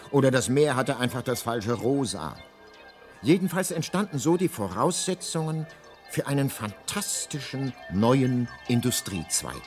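Radio play narrator, male actor: guidebook voice, mock-epic, measured, wry, mid-pitched. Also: lightly acted. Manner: measured, mock-epic delivery with deliberate pauses. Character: grandly ironic guidebook narrator.

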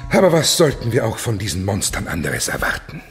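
An actor playing a fanatical, haughty eunuch official in a radio play, declaiming and preaching with contempt. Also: male voice, on the low side, huge pitch swings, brisk, soft background music. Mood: haughty regret. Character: fanatical, haughty male eunuch official ruling over monsters. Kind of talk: German radio play acting.

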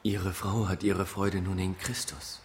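A man's voice: low voice, little pitch movement, measured pace, a little hiss or hum. An actor playing a composed older clergyman in a radio drama, speaking in a pastoral way.

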